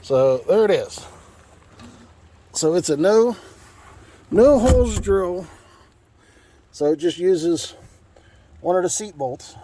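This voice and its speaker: A man's voice: high-pitched, animated, slow pace, long pauses, mellow, a little hiss or hum. An American man giving a casual, conversational vlog walkthrough in a folksy, plain-spoken way. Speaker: vlogger, radio hobbyist.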